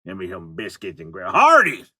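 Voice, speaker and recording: A man's voice: sits low, huge pitch swings, normal speed, bright, forceful tone, breathy edge, pitched below the performer's own voice, exaggerated voice. A man voicing a boorish, dim-witted country coach with a Southern US accent and a rambling, blustering, folksy manner, in a comic sketch. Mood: grumbling, dismissive.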